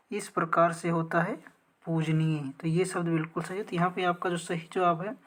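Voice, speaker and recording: Woman tutor going thru practice test questions, lowish voice, asks then answers herself. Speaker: teacher, exam coaching instructor. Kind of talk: explaining practice quiz questions step by step.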